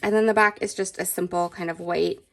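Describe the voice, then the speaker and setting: A woman's voice: lowish voice, steady tone, a little fast, vocal fry, slight background noise. A woman hosting a casual scrapbooking unboxing, chatty and enthusiastic, her voice a bit stuffy from allergies. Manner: chatty and enthusiastic, gushing over papers. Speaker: scrapbooking channel host. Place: indoors at a craft table.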